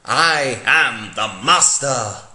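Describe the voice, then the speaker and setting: A man voicing a decaying, menacing villain who makes slow, ominous declarations in a dramatic fan fiction reading. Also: mid-range voice, sharp tone, exaggerated voice. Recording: echoey room.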